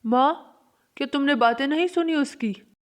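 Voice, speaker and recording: A woman's voice: high-pitched, moderate pace, loud music behind the voice, lightly acted. A woman voicing a short-tempered young married man in a Hindi cartoon story, curt and irritable. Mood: angry, exasperated.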